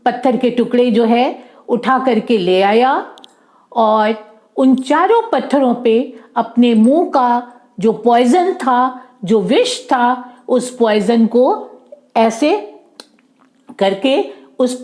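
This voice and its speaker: A woman narrates a folk tale for children. Her voice sits a little high and she takes her time. She repeats and explains words in simple terms as she goes. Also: slow, a little mellow. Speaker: storyteller for children.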